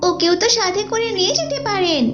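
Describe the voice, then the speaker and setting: A woman's voice: very high voice, lively intonation, fast, fairly bright tone. A woman playing an earnest, polite young daughter who preaches piously in a moral cartoon. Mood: earnest, complaining. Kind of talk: dubbed Islamic moral cartoon dialogue. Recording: dull, lo-fi sound.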